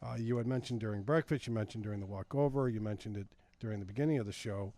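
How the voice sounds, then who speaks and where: A man's voice: fairly low pitch, fast, mellow. A man hosting a casual radio interview, putting brief questions and prompts to a guest with some banter. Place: radio studio.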